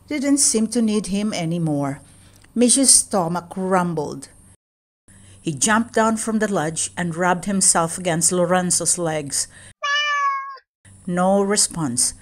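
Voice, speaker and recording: A woman narrating a children's storybook as an expressive read-aloud, stressing key words with deliberate drama. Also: fairly low pitch.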